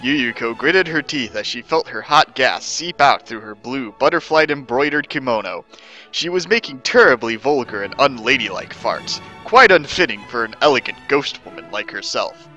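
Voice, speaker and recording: A man narrates a story in a bright, forceful voice over music. His delivery is theatrical and mock-serious, like a formal dramatic reading. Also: medium pitch, expressive, moderate pace. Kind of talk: scripted dramatic reading of fan fiction.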